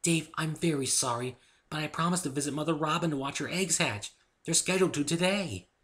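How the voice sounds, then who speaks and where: A meek young mare from a storybook, acted out by a man, speaks in a raised, bright voice. She is gentle, polite and soft-hearted, apologizing as she goes. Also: a little high, brisk, pitched above the performer's own voice, natural voice. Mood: apologetic, polite. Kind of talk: amateur dramatic reading of a fan story.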